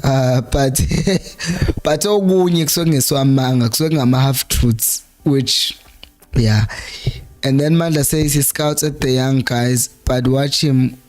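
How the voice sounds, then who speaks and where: Hoarse South African man chatting football. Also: mid-range voice, measured pace, darkish tone. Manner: chatty, laughs often, switches between languages mid-sentence. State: hoarse, losing his voice. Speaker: fan channel host. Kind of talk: casual live-stream football chat.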